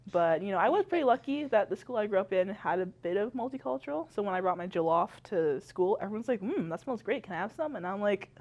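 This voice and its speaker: A woman's voice: medium pitch, lively intonation, quick pace, hardly any pauses, darkish tone, muffled recording. A young woman from Canada, a university student, talking as a podcast guest in chatty style and laughing often. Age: young adult. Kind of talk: casual chat.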